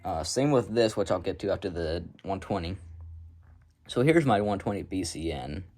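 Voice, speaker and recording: Low-voiced man, offhand and rambling. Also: expressive, normal speed, darkish tone. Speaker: hobbyist showing a radio collection. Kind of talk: casual unscripted show-and-tell.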